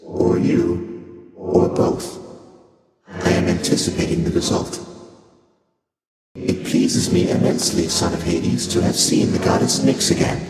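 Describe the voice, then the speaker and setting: Primordial game deity, bottomless low voice, formal, measured, serene, otherworldly effect, huge reverberant tail. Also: male voice. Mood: solemn, pleased. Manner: solemn, measured, archaic formal phrasing. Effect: heavy otherworldly reverb processing. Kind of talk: video game character voice acting. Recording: long cavernous reverb, loud music behind. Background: loud music.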